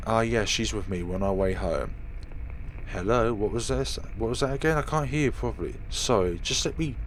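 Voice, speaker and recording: A man's voice: lowish voice, normal speed, natural voice. A man voicing a flustered, evasive woman driver from a visual novel, in a noisy recording.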